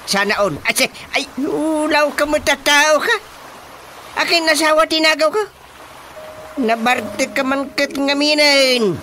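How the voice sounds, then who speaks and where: A man's voice: very high-pitched, measured pace, sharp tone, a little hiss or hum, full character voice. An actor playing a cantankerous, flustered old village man in a Filipino comedy radio drama, with a Filipino accent. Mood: scolding, flustered.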